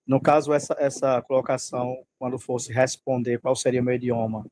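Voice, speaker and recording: A man's voice: medium pitch, level tone, darkish tone, a little hiss or hum. A male student asking a polite, hesitant question over a video call. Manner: polite, hesitant, asks with pauses and fillers.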